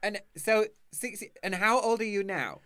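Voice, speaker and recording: A man's voice: sits high, expressive, measured pace, fairly bright tone, no echo, clean studio sound. A man in his thirties hosting a camp, chatty video-call conversation and laughing often. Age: 30s. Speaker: host. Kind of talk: casual chat over video call.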